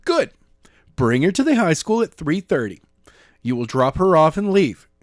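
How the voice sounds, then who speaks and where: A man's voice: fairly high pitch, very animated, normal speed, dry recording, studio quality, pitched above the performer's own voice. A man reading a novel aloud, voicing a defiant fifteen-year-old boy who makes demands. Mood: commanding.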